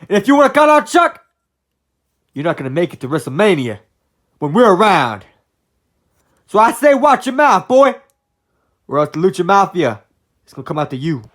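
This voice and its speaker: A man's voice: high voice, sing-song, normal speed, long pauses, voice pushed up, full character voice. A man doing a brash threatening gang boss. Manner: brash, taunting, shouty. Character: tough male gang leader, threatening and brash.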